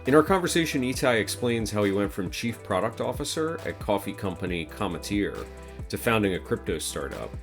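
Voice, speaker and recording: Low-voiced male podcast host. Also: very animated, brisk, soft background music. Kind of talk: scripted intro then conversational interview.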